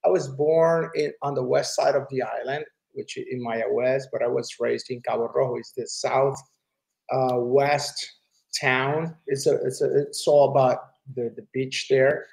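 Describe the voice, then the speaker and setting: Man, Puerto Rican accent, gravelly voice, relaxed casual guest, halting speech. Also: medium pitch, low-quality recording. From Puerto Rico. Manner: relaxed, halting, restarts often. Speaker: interview guest, entrepreneur. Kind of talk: casual conversation.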